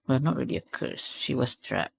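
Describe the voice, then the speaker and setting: A man's voice: mid-range voice, lively intonation, moderate pace, creaky. A man hosting a reaction video, giving casual commentary and analysis as he thinks aloud and repeats phrases. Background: faint music.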